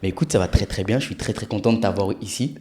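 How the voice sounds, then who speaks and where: A man's voice: on the low side, fast, dark tone. A man hosting a podcast interview, speaking casually and hesitantly with many fillers. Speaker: podcast host.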